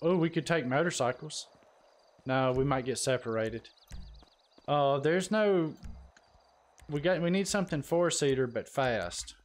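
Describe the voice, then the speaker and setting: A man's voice: a little high, expressive, unhurried, long pauses. A man bantering casually with friends while playing an online game on a live stream. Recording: faint background game noise.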